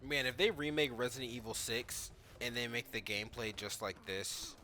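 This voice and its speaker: A man's voice: mid-range voice, fairly even intonation, normal speed, sharp tone, a little hiss or hum. A man giving casual reaction commentary on video game footage, talking informally and thinking aloud as a gaming YouTuber.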